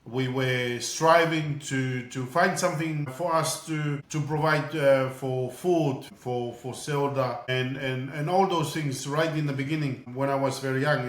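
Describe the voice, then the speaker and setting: A middle-aged man from Tonga speaks as an interview guest. He talks earnestly, often doubling back on words as he goes. His voice sits in the middle of the range and rings in an echoey room. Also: mid-range voice. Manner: earnest, repeats words as he searches for them. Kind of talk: casual interview.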